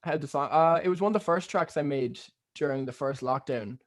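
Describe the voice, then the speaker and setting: Young cork guy chatting fast, keeps restarting, accent half american. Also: fairly high pitch. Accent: neutral Cork Irish with American influence. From Ireland. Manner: rambling, many fillers and restarts. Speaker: musician guest. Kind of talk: casual interview chat.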